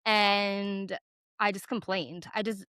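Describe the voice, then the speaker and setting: A woman in her forties with a naturally loud voice, frankly telling a personal story on her vlog with lots of casual fillers. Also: mid-range voice, steady tone, takes it slowly, smooth, dry recording, studio quality. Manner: chatty, frank, many "like" fillers. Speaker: vlogger.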